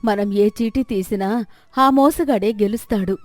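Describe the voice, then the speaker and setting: A clever village wife in a moral tale, played by a woman, speaks calmly and confidently. Her mid-pitched voice is composed and reassuring, recorded very dry. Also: unhurried, no room sound, close to an everyday voice. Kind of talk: dramatized moral story.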